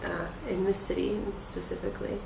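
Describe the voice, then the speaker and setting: A woman from the United States, an English teacher giving measured interview answers. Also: fairly low pitch, flat delivery, vocal fry. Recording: echoey, distant, noisy.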